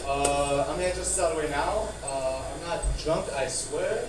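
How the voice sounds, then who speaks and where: A man doing rambling, profane, self-deprecating stand-up, with a speech impediment that softens his R sounds. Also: a little high, moderate pace. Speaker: stand-up comedian. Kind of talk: stand-up comedy at an open mic. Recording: echoey, noisy room, far from mic.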